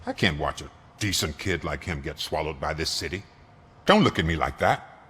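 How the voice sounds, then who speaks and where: A man's voice: very deep voice, very animated, normal speed, fairly bright tone, breathy edge, big-room sound, exaggerated voice. A man playing a protective, world-weary shop owner in a video game, earnest and plain-spoken. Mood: earnest, defensive.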